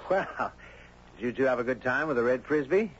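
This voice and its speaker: A man playing a courteous, curious storyteller, gentle, thoughtful and well-spoken, in a muffled, noisy 1970s radio drama. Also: mid-range voice, lively intonation, moderate pace, natural voice. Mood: concerned. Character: courteous, curious man, narrating his own strange tale.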